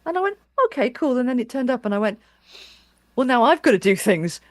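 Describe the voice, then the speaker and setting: A woman interviews in a loose, chatty style with a highish voice that swings widely in pitch. She is animated and halting, often acting out reactions. The recording is dry and close. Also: no echo. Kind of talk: casual, chatty interview talk.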